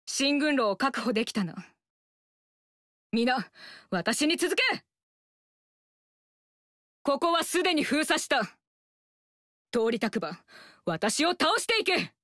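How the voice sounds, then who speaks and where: A proud young warrior daughter. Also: female voice, high voice, a bit bright, no echo, full character voice. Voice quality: firm, commanding. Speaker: game character. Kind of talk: game voice lines. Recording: dry studio recording.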